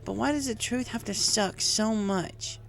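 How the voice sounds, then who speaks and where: Man voicing high, creaky young tomboy pegasus mare. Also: unhurried, fairly bright tone, soft background music, heavily acted, rough recording. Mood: frustrated. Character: young female pegasus pony, brash, tomboyish, emotionally torn.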